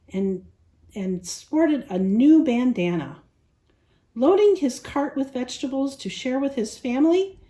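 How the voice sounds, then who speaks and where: Female children's storyteller, fairly high voice, very lively intonation, picture-book read-aloud.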